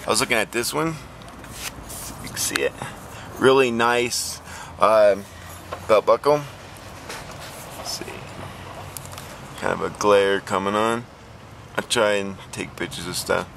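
A man with a lowish voice talks plainly through a casual vlog, stopping often to restart. Recorded on a handheld camera outdoors, with faint outdoor ambience and some car noise behind him. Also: creaky, fairly bright tone. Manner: plain, halting, many ums and restarts. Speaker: flea market reseller vlogger.

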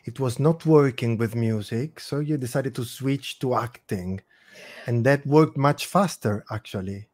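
A man's voice: mid-range voice, measured pace, darkish tone. A man hosting an interview over a video call, asking questions with short curious prompts. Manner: curious, encouraging, brief prompts. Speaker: host. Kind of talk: casual interview. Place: home room, video call. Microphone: headset.

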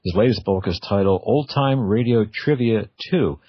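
A man's voice: on the low side, moderate pace, slightly rough. A man hosting a casual radio interview, with hesitant phrasing and repeated words. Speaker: radio talk show host. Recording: dull, lo-fi radio audio.

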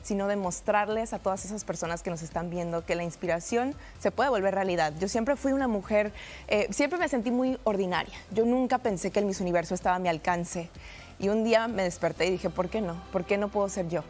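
A woman's voice: medium pitch, brisk, darkish tone, soft background music. Earnest young woman on a TV set. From United States. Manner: earnest, upbeat, some restarts and fillers. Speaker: pageant winner guest. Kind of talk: TV studio interview. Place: TV studio set.

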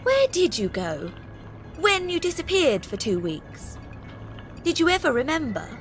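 An actress with a British accent playing an exasperated, gossipy, brisk newspaper editor in a video game. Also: female voice, sits high, very animated, slight background noise, heavily acted. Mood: probing.

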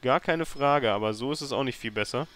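A man with a mid-pitched voice hosts a let's play. He thinks aloud in a casual way as he plays. His commentary tends toward grumbling and dry sarcasm. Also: brisk, fairly bright tone, no room sound. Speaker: let's player hosting a gameplay series.

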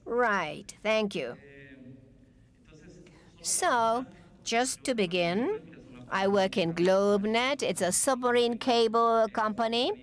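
A woman giving live interpretation of a technical conference talk, in halting, stop-start phrases with pauses and restarts. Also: medium pitch.

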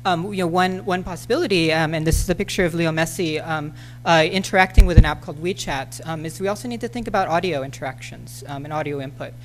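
Low-voiced woman, halting panel talk. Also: faint music behind, low-quality recording. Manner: hesitant, many ums and restarts. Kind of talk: conference talk with slides. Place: lecture hall. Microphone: podium microphone.

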